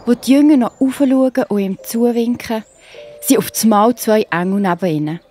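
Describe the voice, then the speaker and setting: A woman's voice: a little high, normal speed, mellow. Swiss woman narrating for children over music. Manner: addresses the child listener directly, wonder-filled.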